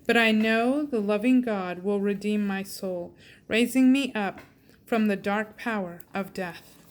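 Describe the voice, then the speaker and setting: A woman reading scripture aloud with measured, deliberate delivery. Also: mid-range voice, slight background noise. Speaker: reader and reading coach.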